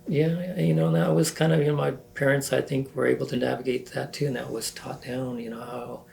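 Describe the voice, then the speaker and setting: A man in his sixties from northern California, recalling his life in a halting, self-correcting way as an oral history interviewee. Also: medium pitch, level tone, gravelly, a little mellow, a little hiss or hum. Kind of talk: reflective recollection.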